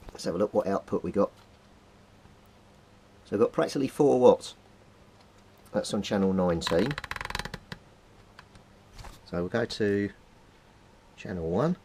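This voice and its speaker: A middle-aged man with a British accent and a fairly low voice presents a casual hands-on demonstration. He talks informally, thinking aloud as he handles things at an indoor workbench. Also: a little mellow, a little hiss or hum. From Britain. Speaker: hobbyist radio repairer presenting a video.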